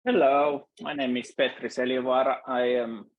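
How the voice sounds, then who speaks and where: A man from Finland with a Finnish accent, introducing himself as a webinar guest, halting with many pauses and fillers. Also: medium pitch, steady tone, dull audio. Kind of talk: conversational self-introduction.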